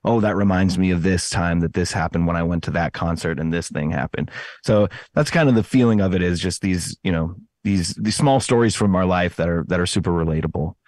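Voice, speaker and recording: Man, low voice, dry close sound, casual interview guest, chatty, self-correcting. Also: brisk, darkish tone, dry recording. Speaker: podcast host appearing as interview guest. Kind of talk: casual conversational interview answers.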